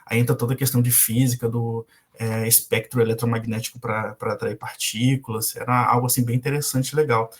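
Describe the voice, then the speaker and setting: Brief: young adult Brazilian man, guest lecturer on a video call, medium pitch, hesitant and full of fillers. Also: level tone, brisk, hardly any pauses. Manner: hesitant, frequent filler "é" and restarts. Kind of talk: casual online lecture.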